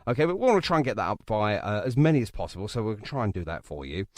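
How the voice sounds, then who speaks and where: A man hosts a chatty radio interview with a very animated pitch. He teases and jokes, repeating phrases for effect. The sound is dry, with little room echo. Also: racing. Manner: jokey, teasing, repeats phrases. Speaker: radio show host.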